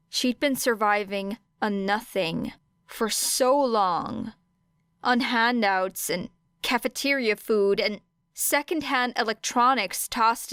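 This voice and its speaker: A woman's voice: mid-range voice, a bit bright, smooth, no echo, studio quality. A woman reading scripted fiction as an audiobook narrator.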